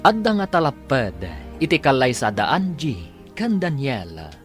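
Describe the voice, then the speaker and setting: A man with an Ilocano accent giving a scripted, measured and theatrical announcement for a radio drama. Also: medium pitch, sing-song, over music.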